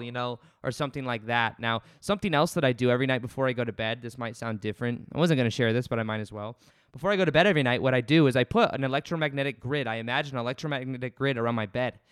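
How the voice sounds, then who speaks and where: A man in his late twenties hosts a casual self-help podcast from his home. His loud voice is smooth and resonant, and he speaks earnestly, circling back with restarts. The recording is close and dry. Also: medium pitch, no room sound. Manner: earnest, rambling, with frequent restarts. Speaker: podcast host. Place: home studio.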